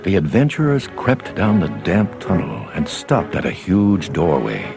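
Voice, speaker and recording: A man narrates a children's storybook in a low, animated voice. His delivery is dramatic and scripted, carried along on prominent background music. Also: unhurried, vocal fry.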